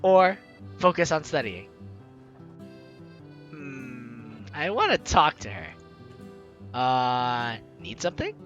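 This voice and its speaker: A man's voice: mid-range voice, very animated, takes it slowly, long pauses, a bit bright, lo-fi sound, soft background music. A man hosting a gaming video, playfully mixing jokey commentary with theatrical read-aloud narration.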